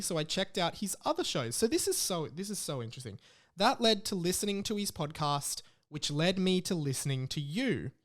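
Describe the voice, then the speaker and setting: A man with an Australian accent hosts a podcast in a voice pitched a little above average. His style is casual and rambling. He reads out messages and keeps interrupting himself with side comments. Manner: rambling, interrupts his own reading with asides. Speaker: podcast host. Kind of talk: casual chat, reading out listener messages.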